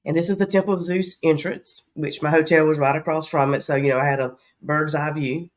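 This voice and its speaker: A woman's voice: low voice, fairly even intonation, brisk. A woman with a Southern accent hosting a travel vlog in casual chat, her voice scratchy from allergies and her talk rambling with frequent ums. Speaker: travel vlogger host.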